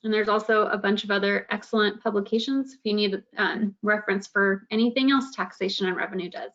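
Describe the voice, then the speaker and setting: Woman tax presenter, mid pitch, informal explaining, dull lo-fi call audio. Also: a little fast, fairly bright tone. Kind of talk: webinar presentation.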